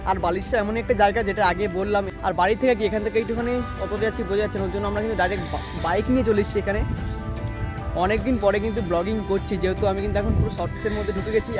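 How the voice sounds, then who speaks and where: A young man, chatting casually to viewers as a vlogger and often repeating himself, recorded outdoors. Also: high voice. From West Bengal, India. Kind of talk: casual vlog narration to viewers. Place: outdoors in an open rural area.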